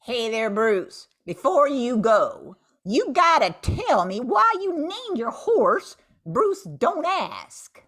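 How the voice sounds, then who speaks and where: Older woman plays bossy cowgirl outlaw boss; slow, theatrical, very animated, radio western comedy. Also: fairly high pitch, heavily acted. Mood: sassy. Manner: drawn-out, commanding, theatrical. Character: bossy, flirtatious female Wild West gang leader. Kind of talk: amateur old-time radio comedy acting.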